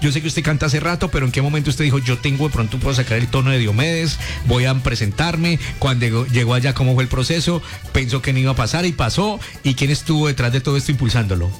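A man hosting a radio interview, chatty, jumping in with quick questions. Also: medium pitch, soft background music. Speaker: radio host. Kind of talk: casual interview chat.

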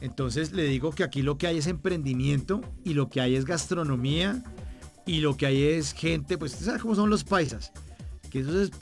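A man with a fairly high voice and a Bogotá accent hosts a chatty broadcast interview. He is jovial and colloquial, often repeating a phrase to stress it. Some music plays along with his voice. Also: moderate pace. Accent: Bogotá Colombian. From Bogotá, Colombia. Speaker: radio show host interviewing a guest.

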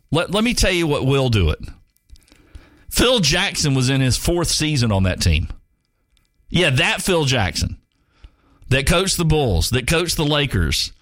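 A man's voice: a little high, a bit bright, dry recording, studio quality. A man in his fifties hosting sports talk radio, rambling and joking with frequent restarts. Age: 50s. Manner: rambling, jokey, many restarts. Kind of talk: casual sports talk monologue.

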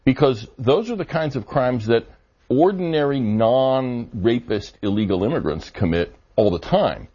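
A man answering interview questions on policy, measured and argumentative, with frequent fillers. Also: medium pitch, animated, dark tone, breathy edge. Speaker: immigration policy guest. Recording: dull lo-fi audio.

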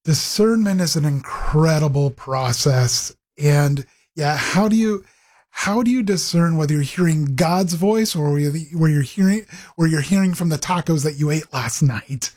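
Husky-voiced middle-aged man, rambling storyteller. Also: fairly high pitch, a little slow, a little mellow. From Pacific Northwest, USA. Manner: rambling with many fillers and restarts. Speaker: guest, ministry executive director. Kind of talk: conversational interview storytelling.

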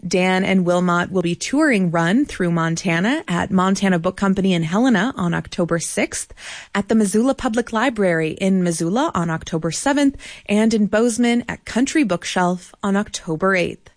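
A woman hosting a public radio book show, reading the introduction and asking interview questions in a measured way. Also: medium pitch, expressive, no echo, clean studio sound. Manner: measured, clear read of sponsor credits.

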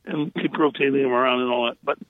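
An older man with a loud, husky voice reminisces casually as a guest, halting and repeating phrases, over a phone line. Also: mid-range voice, fairly even intonation. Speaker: guest caller, retired prison librarian. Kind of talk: casual reminiscing in a radio interview. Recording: tinny, echoey phone line.

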